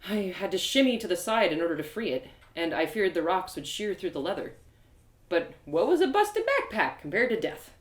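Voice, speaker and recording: A woman giving a theatrical, expressive dramatic reading of a story as its narrator. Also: on the low side, brisk. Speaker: author performing her audio drama. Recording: roomy, echoey sound.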